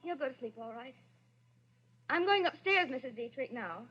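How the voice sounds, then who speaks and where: A nervous young woman, pleading in a high, anxious voice. The style is old-time suspense radio, and the recording sounds dull and aged. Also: lively intonation, moderate pace, breathy edge. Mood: nervous, evasive. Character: frightened, jittery, devoted young woman helping a fugitive. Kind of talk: 1940s radio thriller acting.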